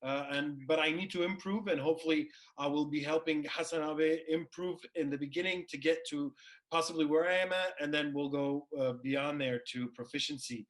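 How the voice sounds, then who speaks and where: A man's voice: a little high, normal speed. A man, a native English speaker, talking casually to viewers as a regular on a language show, with loose, hesitant phrasing. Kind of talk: casual unscripted introduction to viewers.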